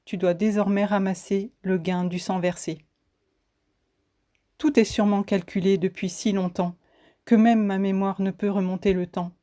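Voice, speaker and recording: A woman recites spoken-word poetry in a warm, mellow voice of medium pitch. Her delivery is solemn and declamatory, with each phrase deliberately set out. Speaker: poet reciting own poem.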